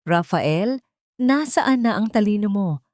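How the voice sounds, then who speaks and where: A woman's voice: medium pitch, lively intonation, slow pace, a little mellow, no room sound, clean studio sound, pitched above the performer's own voice. A woman voicing a domineering, scornful old rich matriarch who scolds in a cutting way in an audiobook drama. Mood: scolding.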